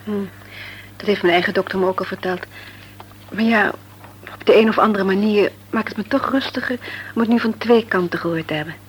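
A woman's voice: medium pitch, a little fast, pauses often, a little hiss or hum, close to an everyday voice, rough recording. An actress playing a sweet, unassuming young woman in an old Dutch radio play. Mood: resigned.

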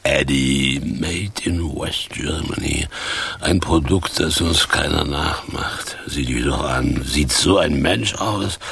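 An actor playing a weary, reproachful homeless former stage actor in a radio play, speaking deliberately and pompously in a husky, gravelly voice. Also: male voice, extremely low pitch, a bit bright, slight background noise, exaggerated voice. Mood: reproachful, dismissive.

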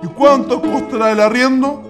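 A man's voice: very high-pitched, fairly even intonation, normal speed, fairly bright tone, soft background music. A man playing a courteous, earnest Chilean idealist in a radio drama.

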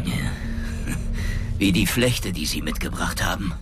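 An actor playing a hot-tempered, cornered company boss in a German radio drama, gruff, agitated and indignant. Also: male voice, low voice, measured pace, very noisy. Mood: wary. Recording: voice under very loud music.

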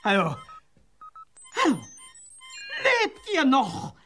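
A pompous ground controller calling a rocket over the radio in a radio play. The speech is slow and drawn out, with a lively, swooping pitch and a faint bed of music. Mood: officious. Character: fussy ground-control voice speaking High German.

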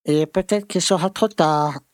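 An actor playing a pompous, grumbling conservative small-town mayor who lectures and scolds in a French audio drama. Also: male voice, fairly high pitch, a little slow, no room sound, studio quality, natural voice. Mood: scolding.

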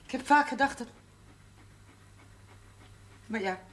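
A woman's voice: on the high side, slow pace, a bit bright. An actress playing a plainspoken, motherly former housekeeper who teases dryly. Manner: dry, teasing, plainspoken. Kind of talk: 1970s TV drama acting.

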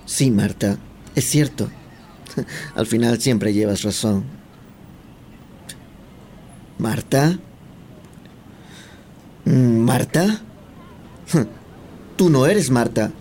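A man playing a weary, compliant, quietly resentful husband in a Spanish audio drama. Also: mid-range voice, drawn-out words, pauses often, a little hiss or hum. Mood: cold, eerie calm. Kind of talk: Spanish audio fiction acting.

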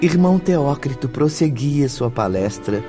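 Prominent music runs under a mid-pitched man narrating a radio drama. He tells the story formally and dramatically. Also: expressive, a little slow.

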